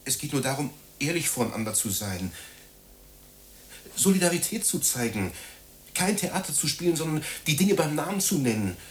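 An actor in a German radio drama playing a needy, self-pitying man who rambles haltingly and repeats himself. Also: fairly high pitch, very animated, slight background noise. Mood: bitter, insistent.

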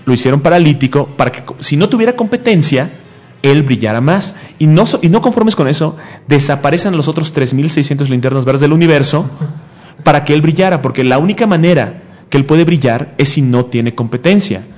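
Emphatic, higher-pitched young man arguing. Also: expressive, fairly quick, darkish tone. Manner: emphatic, argumentative, repeats himself and interrupts. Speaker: comic book show host. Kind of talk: heated casual debate about comics.

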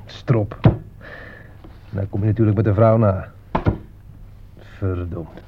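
Resigned, grumbling frontline soldier in radio drama, fairly low, thin noisy sound. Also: male voice, steady tone, slow. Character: grumbling, hungry male soldier in a dugout. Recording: tinny, hissy old radio recording.

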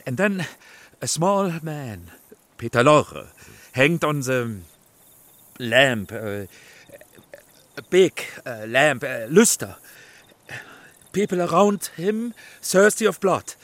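Halting, flustered German émigré director. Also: male voice, a little high, very animated, fairly bright tone, no room sound, heavily acted. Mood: excited, groping. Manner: halting broken English, groping for words. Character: earnest, flustered émigré film director, a man. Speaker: actor in a radio drama. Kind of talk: radio play dialogue.

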